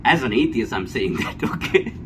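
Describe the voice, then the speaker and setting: A man's voice: lowish voice, normal speed. A man with a Sri Lankan accent giving an unscripted, blunt opinion monologue, emphatic and repetitive, often checking for agreement. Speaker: vlogger giving personal opinion. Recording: clear steady background noise, air-conditioner hum.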